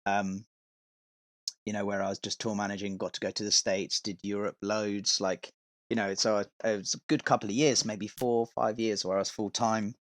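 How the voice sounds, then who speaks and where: A man's voice: fairly low pitch, level tone, moderate pace, dry recording. A man chatting casually as a podcast guest, telling stories in an informal, rambling, sweary way. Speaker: podcast guest, touring metal vocalist and tour manager.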